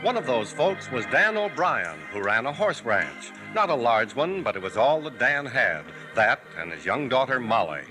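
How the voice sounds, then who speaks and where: A man's voice: medium pitch, big pitch swings, normal speed, hardly any pauses, poor audio. A man announcing and narrating a 1950s radio western in a dramatic, scripted style. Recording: old 1950s radio recording. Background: organ music.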